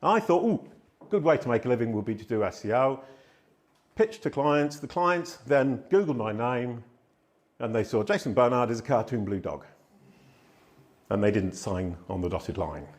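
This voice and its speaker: Loud, animated man giving a talk. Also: medium pitch, moderate pace, pauses often. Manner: loud, animated, self-deprecating storytelling. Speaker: conference speaker. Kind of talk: live conference talk. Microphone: handheld microphone.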